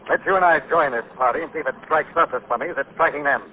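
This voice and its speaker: An actor playing a suave, commanding gentleman sleuth with crisp, decisive delivery in 1940s radio drama style. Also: a little high, racing, close to an everyday voice. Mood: grimly commanding. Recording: tinny, noisy 1940s radio recording.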